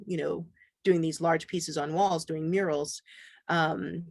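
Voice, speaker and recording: A man's voice: fairly high pitch, slow pace, vocal fry, no echo. Guy from barbados, laid-back panel chat on a video call, lots of um and you know, dry sound. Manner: relaxed, conversational with many fillers. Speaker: panelist artist. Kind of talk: panel discussion.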